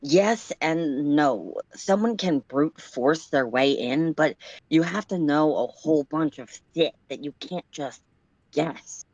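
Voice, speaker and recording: A woman playing a gloomy ship's hacker in a story reading, explaining grave news haltingly, with muffled, echoey audio. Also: deep voice, animated, darkish tone, heavily acted. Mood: grave, resigned. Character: gloomy ship's hacker reporting over the speaker.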